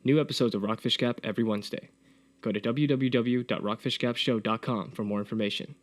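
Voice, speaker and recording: A man playing a brisk radio announcer reading a scripted promo. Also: mid-range voice, steady tone, lightly acted. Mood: brisk, promotional.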